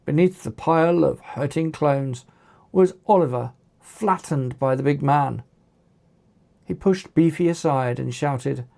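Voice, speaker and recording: This is a storyteller reading an action tale in a dramatic, animated way. The pitch moves around a lot while the pace stays slow and measured. The tone is mellow, with a gravelly creak in it. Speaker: author narrating own book. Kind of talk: audiobook narration of children's action story.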